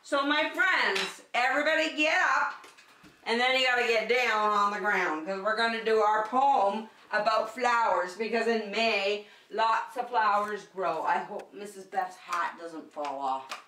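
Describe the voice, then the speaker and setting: A woman leading a preschool circle-time lesson, speaking to young children in simple, repeated phrases with an encouraging, animated manner. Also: medium pitch, unhurried, slight background noise. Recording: roomy echo, distant microphone.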